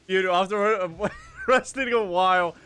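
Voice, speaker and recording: A man livestreaming a game, reacting in a casual, animated, teasing way into a close microphone. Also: high-pitched, normal speed, slight background noise. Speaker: game streamer reacting live. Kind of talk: excited casual livestream commentary. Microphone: close streaming microphone.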